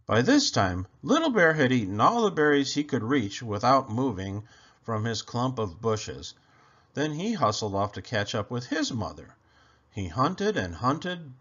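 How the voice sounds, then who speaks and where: A man reading a children's story aloud in an expressive, deliberate storytelling manner. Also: mid-range voice, highs cut off. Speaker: children's book reader. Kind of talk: scripted storybook narration, read aloud.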